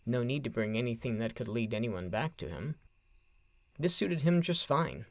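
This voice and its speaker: A man narrating a scripted story in a measured, dramatic way, with deliberate phrasing. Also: mid-range voice, animated.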